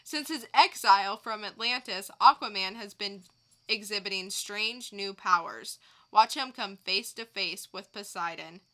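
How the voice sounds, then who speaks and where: A woman's voice: medium pitch, a little slow, pushed and bright. A woman co-hosting a podcast about comic books, speaking in an informal review-show style. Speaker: podcast co-host. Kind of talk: casual chat and scripted comic previews.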